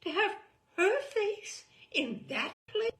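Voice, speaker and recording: A silly upper-class British husband, dithering and plummy in a very high, swooping voice. He frets with babyish exclamations in a comic storybook reading, with some room echo. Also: sing-song, slow, fairly bright tone, heavily acted. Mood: flustered alarm.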